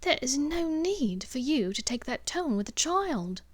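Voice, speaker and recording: A teenage witch from a fantasy audiobook, acted by a woman narrator. She is earnest and fiercely loyal, with an insistent, indignant delivery. The voice sits a little high and the sound is dry. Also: very animated, a little slow, dry recording. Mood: reproving.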